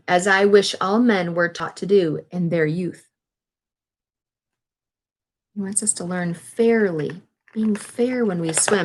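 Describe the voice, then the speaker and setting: A woman teacher reading a children's picture book aloud, with expressive, deliberate reading and simply worded explanatory asides. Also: mid-range voice.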